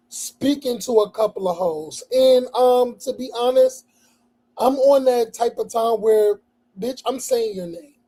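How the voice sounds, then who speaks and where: Hoarse, slow-talking livestream host. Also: male voice, high-pitched, mellow, rough recording. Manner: blunt, profane, repetitive call-outs. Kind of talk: casual livestream talk.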